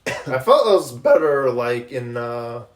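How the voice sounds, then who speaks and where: A man co-hosting a casual movie podcast in a laid-back, agreeable way, often trailing off quietly. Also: mid-range voice, very animated, measured pace. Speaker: podcast co-host. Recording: roomy echoey room.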